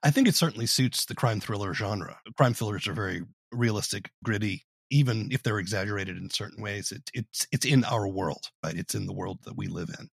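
A man's voice: on the low side, a little fast, gravelly, slightly rough, dry recording. A man hosting an interview, conversationally asking questions and commenting. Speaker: interview host.

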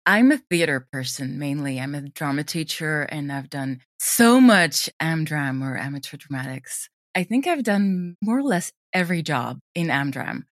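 A woman from Sweden talks casually as an interview guest, her voice on the low side. She is enthusiastic and expressive about her creative work. The recording is dry, with hardly any room sound. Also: normal speed, few pauses, no room sound. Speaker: interview guest, audio drama creator and drama teacher. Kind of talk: casual conversational interview answers.

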